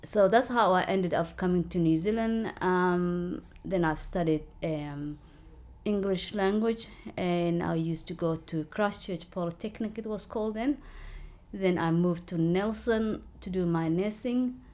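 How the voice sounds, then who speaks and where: Woman, 40s, lowish pitch; earnest interviewee, halting delivery. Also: darkish tone. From Somalia. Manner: earnest, halting, many fillers and restarts. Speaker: local election candidate. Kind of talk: interview, personal story.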